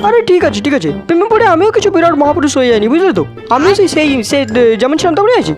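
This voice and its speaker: Woman doing a cheeky young cartoon guy, really high voice, talks fast, music behind. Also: big pitch swings. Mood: excitable. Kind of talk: Bengali comedy cartoon dubbing.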